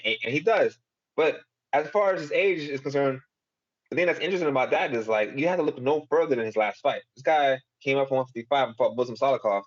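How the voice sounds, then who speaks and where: A man's voice: medium pitch, muffled recording. A young adult man, a fighter, giving casual interview answers in a rambling way, full of fillers and short laughs. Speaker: MMA fighter.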